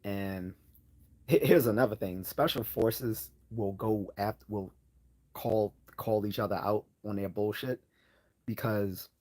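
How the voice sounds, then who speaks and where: A man hosting a casual live Q&A, hoarse from losing his voice, rambling with many fillers and restarts as he answers chat questions. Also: fairly low pitch, slow pace, warm tone.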